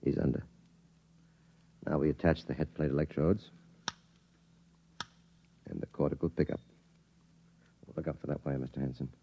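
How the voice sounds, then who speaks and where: An actor playing a calm, authoritative army psychiatrist in a 1950s radio drama, measured and clinical. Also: male voice, extremely low pitch, muffled recording, slight background noise. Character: calm, authoritative male army psychiatrist running a memory probe.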